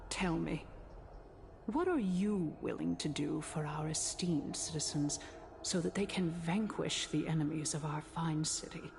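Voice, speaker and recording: An imperious female elder of a council in a video game. Her voice is fairly low with plenty of gravel. She speaks formally and gravely, like a schemer giving an oration, over faint noise. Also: measured pace. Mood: grave, ominous.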